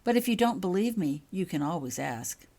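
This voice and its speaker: A woman's voice: lowish voice, sing-song, moderate pace, dark tone. A woman voicing a serene teenage schoolgirl who explains things pedantically with sly mockery in a storybook. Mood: serene, informative.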